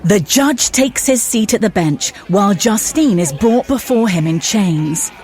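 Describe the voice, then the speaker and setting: Woman narrator, medium pitch. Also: expressive, measured pace, noisy background. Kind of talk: scripted drama narration.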